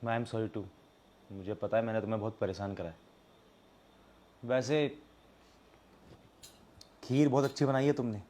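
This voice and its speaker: A man's voice: mid-range voice, a little fast, darkish tone, natural voice. A young man with an Indian accent acting a stubborn, fault-finding but earnest husband in a domestic drama. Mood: apologetic. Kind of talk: scripted domestic drama acting in Hindi with some English.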